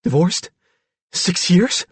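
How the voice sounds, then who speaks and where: A man's voice: sits high, lively intonation, takes it slowly, slightly rough, no echo, pitched above the performer's own voice, exaggerated voice. A man voicing a frightened, dazed and childlike young man in a storybook reading, with anxious, bewildered, pleading speech.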